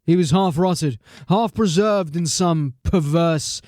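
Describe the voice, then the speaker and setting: A man's voice: on the high side, slow pace, darkish tone, dry recording. An actor playing a tired, dry-witted watch sergeant in an audio drama, commanding and wry in his delivery. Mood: weary. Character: weary, dry-witted watch sergeant, middle-aged man.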